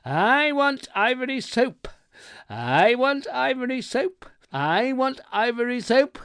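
A man acting out a flustered, indignant gentleman shopper in a comic story, sputtering and insistent. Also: sits high, sing-song, measured pace, vocal fry, no echo, clean studio sound, voice pushed up, full character voice. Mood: excited.

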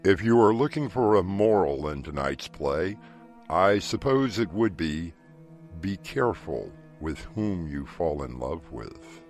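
A man playing the sinister host of a horror audio drama, speaking slowly and gravely. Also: low-pitched, very animated, a little mellow, heavily acted. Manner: slow, sinister, measured delivery. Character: ominous male horror show host, grim and sly. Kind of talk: horror radio play narration. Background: music.

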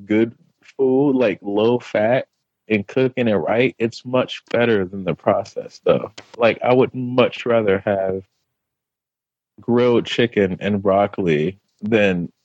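A man talking as a podcast guest, relaxed, explaining things plainly in casual chat. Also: fairly low pitch, slow, dry recording, muffled recording.

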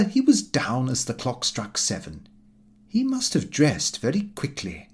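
A man narrates a comic diary in the first person. His mid-range voice moves up and down a great deal, and he tells it in a measured, slightly fussy storyteller's manner. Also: mid-range voice. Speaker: audiobook reader.

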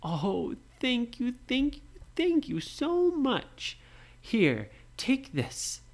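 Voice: medium pitch, wildly swooping pitch, drawn-out words, voice pushed up, exaggerated voice. A storyteller voicing a kindly, fussy old woman who lives alone, pleading for help in a bedtime story. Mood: grateful.

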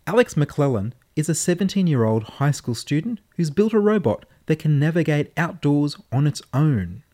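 A man's voice: fairly high pitch, sing-song, normal speed, mellow. A man presenting and interviewing as a radio reporter.

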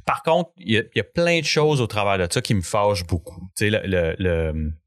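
A man's voice: fairly low pitch, fairly quick, no echo, studio quality. A man with a Québécois French accent, hosting a casual chat and often stammering as he searches for his words. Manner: hesitant, stammering restarts. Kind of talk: casual conversation.

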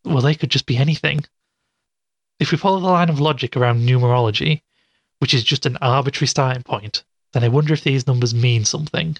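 An actor playing a skeptical investigative podcast host in an audio drama, haltingly thinking aloud. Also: male voice, mid-range voice, natural voice. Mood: puzzled, skeptical. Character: skeptical male investigative show host puzzling over clues.

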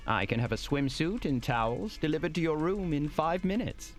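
An actor playing a polite, fussy, squeamish hotel manager in an audio drama, speaking in a formal, deferential and prissy way. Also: male voice, on the high side, expressive, moderate pace, faint music behind, natural voice. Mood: polite, soothing. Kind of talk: scripted audio drama acting.

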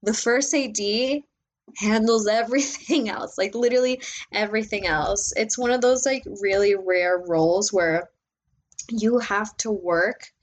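A young adult woman with a loud voice, talking as a guest in a casual interview about working as an assistant director, full of "like"s and restarts. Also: mid-range voice. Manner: animated, filler-heavy, many "like"s.

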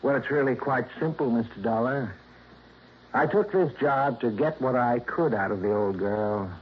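An actor playing a smooth, mock-polite scheming man in a 1950s radio drama. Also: medium pitch, moderate pace, close to an everyday voice. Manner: suave, mock-polite, condescending. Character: smooth scheming male secretary, slippery. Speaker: suspect. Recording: muffled old radio broadcast.

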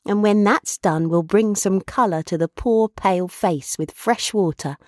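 A woman voicing a tender, playful young daughter in a storybook, with a soothing, fond and gently teasing manner. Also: medium pitch, unhurried, dry recording, studio quality, natural voice. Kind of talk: storybook character voice.

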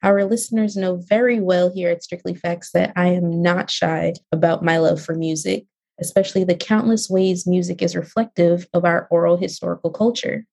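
A woman podcast host reads a prepared introduction in a very mellow voice. It sits a little low and has a noticeable creak. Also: fairly even intonation, normal speed.

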